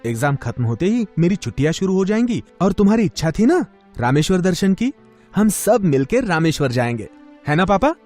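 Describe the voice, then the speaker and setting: A man's voice: high-pitched, big pitch swings, fairly quick, a little mellow, soft background music, pitched above the performer's own voice. A man voicing an earnest, caring young student in a moral story, eager and reassuring.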